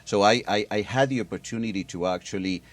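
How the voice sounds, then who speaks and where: A man with a very low, deep voice talks in a measured, explanatory way, often pausing and restarting his sentences. He is a middle-aged guest from Argentina on a public affairs interview program. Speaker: guest expert.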